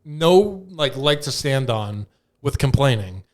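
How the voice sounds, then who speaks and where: A man's voice: mid-range voice, animated, takes it slowly. A man hosting a podcast, bantering casually in a blunt, irreverent way with plenty of swearing. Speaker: podcast host.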